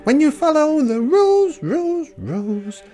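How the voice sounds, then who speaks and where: A prim female parking officer with a high, twittering voice. She is chirpy, exacting and obsessed with rules, swooping slowly through her words over music. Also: very animated, mellow. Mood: prim, self-satisfied.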